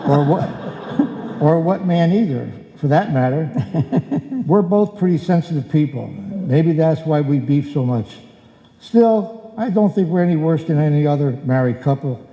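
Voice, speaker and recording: Casting: male actor as sentimental, blustery husband for old-time radio comedy, fairly high voice, very dark tone, live hall sound. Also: animated, unhurried, dull audio. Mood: tender. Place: indoor hall stage, live performance. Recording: echoey hall, live stage performance.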